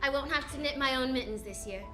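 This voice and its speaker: High-voiced wisecracking lady detective acted by a woman. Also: fast talker, over music, close to an everyday voice. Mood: cool, sardonic. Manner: sardonic, snappy, quipping hard-boiled delivery. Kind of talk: old-time radio detective acting.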